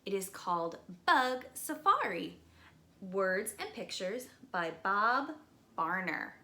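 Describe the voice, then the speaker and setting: A woman preschool teacher reading a picture book aloud expressively, with dramatic slowed emphasis and hushed moments. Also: mid-range voice, sing-song. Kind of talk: expressive children's read-aloud. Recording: roomy echo, light background noise.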